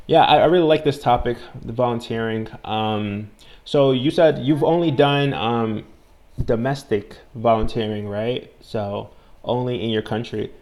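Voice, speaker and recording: A man's voice: mid-range voice, unhurried. Chatty young man in his twenties. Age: 20s. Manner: relaxed, heavy use of "like" and "you know", frequent restarts. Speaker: English tutor. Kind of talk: casual conversation lesson.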